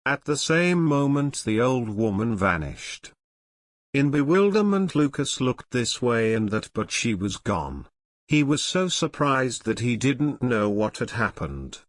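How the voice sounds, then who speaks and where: A man's voice: mid-range voice, big pitch swings, measured pace, no echo, studio quality. A man reading a scripted storybook tale as narration for English learners.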